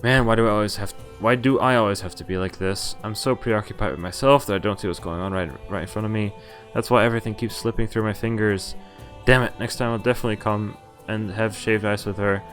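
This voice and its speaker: Dutch man reading a game aloud, lowish voice. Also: fairly even intonation, fast, fairly bright tone, soft background music. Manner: stumbles over words while reading, comments to himself. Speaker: game streamer. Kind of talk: reading a visual novel aloud with casual live reactions.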